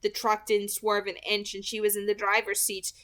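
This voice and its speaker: A woman's voice: medium pitch, completely flat delivery, moderate pace, fairly bright tone. A woman reading a novel aloud as an amateur audiobook narrator, with expression.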